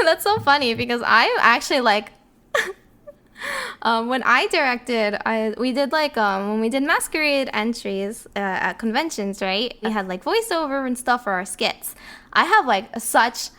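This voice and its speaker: A woman chatting casually as a voice actor, telling stories in a giggly, animated manner with quoted asides. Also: on the high side, unhurried. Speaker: voice actor, podcast narrator. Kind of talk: casual chat.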